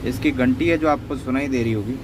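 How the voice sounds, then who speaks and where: A man's voice: medium pitch, fairly quick, background noise. A man gives an unscripted, step-by-step repair demonstration in a conversational, explanatory way, often repeating himself. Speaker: phone repair tutorial host.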